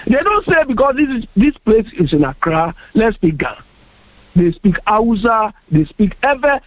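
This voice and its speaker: A man's voice: sits high, sing-song, a little slow, no room sound. A Ghanaian man making his case on a radio panel discussion, earnest and emphatic, often repeating himself. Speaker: panel guest. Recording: dry tinny phone-like radio sound.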